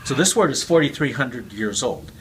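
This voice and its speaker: A man's voice: mid-range voice, sing-song, normal speed, fairly bright tone, a little hiss or hum, poor audio. A man with Cantonese roots giving an earnest, casual explanation as a guest speaker, often repeating words. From Chinese heritage, raised with Cantonese.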